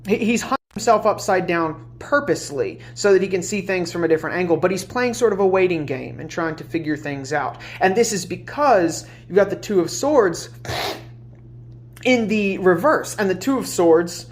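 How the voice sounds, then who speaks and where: A woman's voice: on the low side, expressive, moderate pace. Congested woman doing a tarot reading. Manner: chatty, many fillers and restarts. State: congested, under the weather. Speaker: tarot reader. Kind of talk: casual collective tarot reading.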